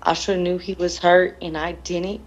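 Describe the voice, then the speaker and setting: A woman's voice: on the low side, flat delivery, moderate pace, dull audio. A grieving woman answering interview questions in an earnest, emotional, plain-spoken way, often repeating her phrases. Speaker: bereaved mother being interviewed.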